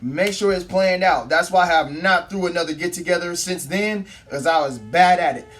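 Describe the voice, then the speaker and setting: A man in his thirties with a California Bay Area accent and a hoarse voice, telling casual personal stories in slangy, profane talk as a show host. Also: sits high, moderate pace, soft background music. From San Francisco Bay Area, United States. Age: 30s. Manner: slangy, profane, animated quoting of dialogue. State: hoarse, voice partly lost. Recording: instrumental beat behind the voice. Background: instrumental hip-hop beats.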